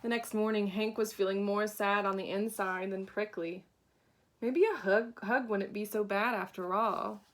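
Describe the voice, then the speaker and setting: A woman reading a children's picture book aloud with dramatic pauses, acting out the story. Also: mid-range voice, steady tone. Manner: deliberate dramatic pauses, acts out characters. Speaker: storybook reader, teacher.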